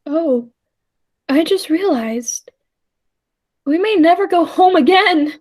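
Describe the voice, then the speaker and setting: A woman's voice: very high voice, lively intonation, slow pace, a little mellow, exaggerated voice. A woman playing a time traveler's uneasy, doubtful companion in a 1940s-style radio play, earnest and hesitant. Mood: despairing.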